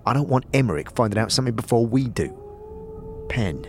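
A man's voice: mid-range voice, animated, brisk, lightly acted. A man voicing a scheming letter writer who gives disdainful, formal instructions in an audio drama. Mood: condescending, brisk.

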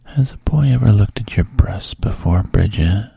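Coaxing hushed man, breathy and gravelly, low dry whisper, as a narrator puts him on. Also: expressive, normal speed, dry recording, full character voice. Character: soft-spoken coaxing adult man.

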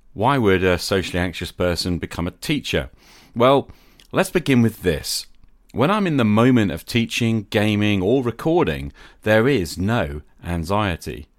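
Low-voiced guy doing a podcast monologue, explains stuff deliberately, really dry close sound. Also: no room sound. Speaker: podcast host. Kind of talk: scripted reflective monologue.

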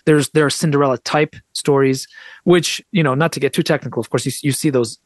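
A man's voice: on the high side, fairly even intonation, brisk, no room sound. An American man explaining things in a conversational interview as a guest, articulate and discursive, with frequent asides. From United States. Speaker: podcast guest, author and translator.

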